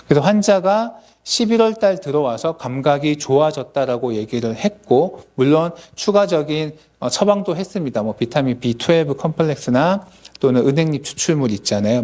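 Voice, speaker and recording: A man's voice: mid-range voice, darkish tone. A man, a dentist, lecturing colleagues at a symposium in a conversational, explanatory manner.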